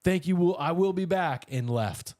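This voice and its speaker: A man's voice: sits high, moderate pace, a little mellow, no room sound, clean studio sound. A man co-hosting a podcast, chatting informally through news stories in a casual, conversational way. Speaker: podcast co-host.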